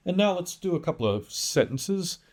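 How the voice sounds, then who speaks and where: A man giving an English pronunciation lesson as a teacher, enunciating example words with deliberate emphasis. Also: sits high, sing-song. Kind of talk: instructional lesson with demonstrated words.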